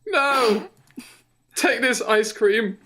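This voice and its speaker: A simple-minded, devoted son, acted out by a man for a comedy radio play. He pitches his voice up and exclaims everything melodramatically, like a distraught amateur hero. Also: expressive, very slow, background noise, full character voice.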